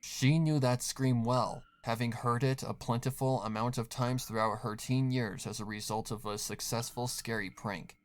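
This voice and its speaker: A man's voice: mid-range voice, fairly even intonation, normal speed, hardly any pauses. A man reading scripted third-person horror fiction as the narrator.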